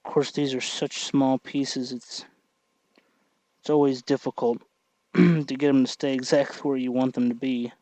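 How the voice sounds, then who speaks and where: A man narrating a casual hands-on tutorial, thinking aloud in a halting way as he works. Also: medium pitch, fairly even intonation, dark tone, dry recording, poor audio. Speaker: tutorial host.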